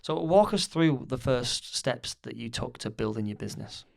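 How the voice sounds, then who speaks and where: A man hosting a podcast who talks in a relaxed, rambling way, leaning heavily on "like". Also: medium pitch, normal speed, creaky. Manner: relaxed, rambling, heavy use of "like". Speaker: podcast host. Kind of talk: casual interview chat.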